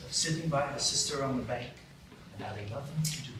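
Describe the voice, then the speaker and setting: A man's voice: medium pitch, quick pace. A man giving scripted storybook narration in a live radio play, recorded at a distance with echo and heavy noise. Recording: echoey, distant, very noisy stage recording.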